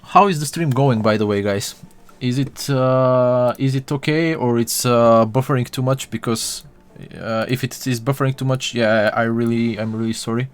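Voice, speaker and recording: A man's voice: medium pitch, normal speed, hardly any pauses, clear, resonant tone. A young man from Bulgaria chatting with his live-stream viewers while he models, full of fillers and restarts.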